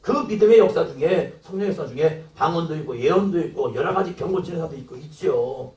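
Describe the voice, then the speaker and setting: Male pastor, sermon delivery, high and animated, plays out dialogue, distant mic in a reverberant hall. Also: fast talker. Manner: acts out quoted dialogue, rising to exclamations.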